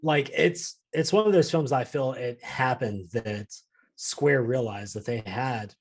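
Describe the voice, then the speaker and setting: A man's voice: mid-range voice, unhurried, slightly rough. A man giving emphatic opinions in a casual chat, conversational and free with swearing. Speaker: podcast panelist discussing films and games.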